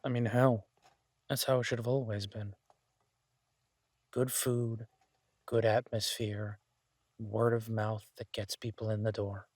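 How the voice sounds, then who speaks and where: A man playing a laid-back, idealistic chef in an audio roleplay, talking casually with hesitant pauses and mild swearing. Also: lowish voice, little pitch movement, a little mellow, no echo. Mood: earnest, opinionated. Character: laid-back, idealistic male chef, devoted to honest food. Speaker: chef and restaurant owner character in an audio roleplay. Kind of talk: intimate conversational audio roleplay.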